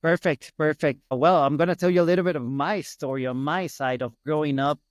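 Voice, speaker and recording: A man's voice: on the high side, brisk, dry recording, studio quality. A middle-aged man with an Ecuadorian Spanish accent, chatting as an interview guest and repeating phrases for emphasis. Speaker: podcast guest, hospital business owner. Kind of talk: casual interview storytelling.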